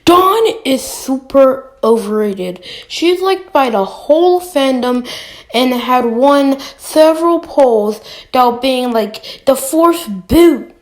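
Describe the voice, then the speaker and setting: Woman, high voice, casual countdown host, opinionated, emphatic, informal commentary. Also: expressive, slow pace, darkish tone. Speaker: host of a fan ranking video.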